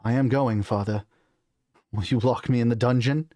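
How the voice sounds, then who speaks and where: A man's voice: mid-range voice, fairly even intonation, normal speed, dark tone, no echo, clean studio sound, close to an everyday voice. A man voicing a resolute young prince in a storybook, firm and earnest. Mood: resolute, defiant. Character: defiant, honorable young prince, resolute son.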